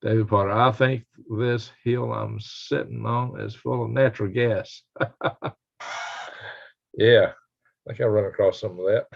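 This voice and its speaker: Raspy, low-voiced older man, muffled stream audio. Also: fairly even intonation, a little slow, pauses often. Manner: folksy, rambling, chuckling country talk. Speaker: livestream host. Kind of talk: casual live stream chat, reading viewer comments. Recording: dull lo-fi livestream audio.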